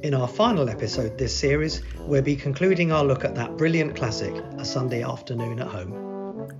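A man's voice: medium pitch, lively intonation, moderate pace, music in the background. A man co-hosting a podcast and reading an upbeat promo. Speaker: podcast co-host.

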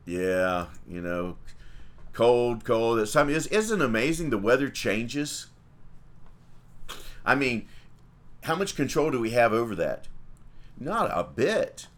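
A man's voice: mid-range voice, sing-song, slow pace, pauses often. A man, a priest leading livestreamed morning prayer and chatting in a folksy way with viewers.

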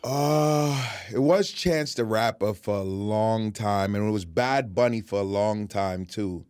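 Blunt low-voiced man on a podcast. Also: unhurried, darkish tone, clean tone, dry recording, studio quality. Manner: blunt, casual, swears freely. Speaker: podcast co-host. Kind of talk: casual podcast chat.

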